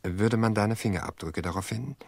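An actor playing a calm brother-in-law in a radio drama, soothing and dismissive in a measured way. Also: male voice, on the low side, vocal fry, no room sound. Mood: probing. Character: calm, reassuring brother-in-law, reasoning away suspicion.

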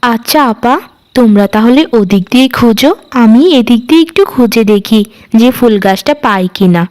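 A woman's voice: a little high, normal speed, a little mellow, pitched below the performer's own voice, lightly acted. A woman voicing an innocent, obliging young girl, the trusting youngest sister in a cartoon fairy tale. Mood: puzzled, trusting.

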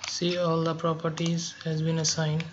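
Flat monotone man on a dull, noisy mic. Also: on the high side, moderate pace. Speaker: software tutorial instructor. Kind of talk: step-by-step screen tutorial narration. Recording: dull lo-fi mic, keyboard clicks, noise.

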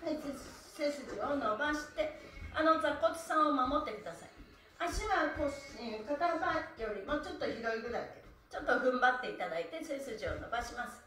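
A woman's voice: sits high, lively intonation, normal speed, pushed and bright. A middle-aged woman leading a stretching class, cheerfully chanting counts and instructions from a few meters away in a small indoor room. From Japan. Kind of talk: live-streamed exercise class. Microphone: phone camera a few meters away. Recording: echoey room from a distance.

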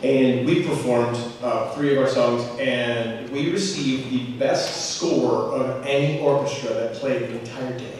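A young male orchestra teacher giving rambling, self-deprecating remarks on stage, halting with fillers. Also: mid-range voice, heavy background noise. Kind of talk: casual remarks to an audience. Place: large school auditorium stage. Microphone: handheld mic, far camera. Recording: echoey hall from far off.

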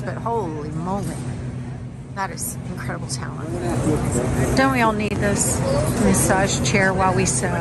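A woman in her fifties filming a casual vlog on a phone at arm's length, gushing with excitement as she walks through an expo hall and chats in a hotel room. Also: mid-range voice, heavy background noise. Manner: enthusiastic, gushing exclamations. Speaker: sewing vlogger.

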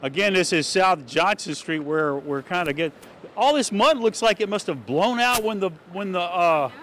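A man's voice: high-pitched, animated, normal speed, a bit bright. Older guy field reporter, rambly live news talk, handheld mic outside, faint traffic hum. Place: flooded city street at night, outdoors. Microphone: handheld station mic. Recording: faint street noise outdoors.

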